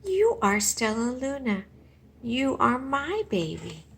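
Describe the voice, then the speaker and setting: A woman playing a loving mother bat in a children's storybook, reassuring and gently commanding. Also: on the high side, big pitch swings, slow pace, gravelly, noisy background, heavily acted. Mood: tender. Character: mother bat, loving, assured, guiding grown female.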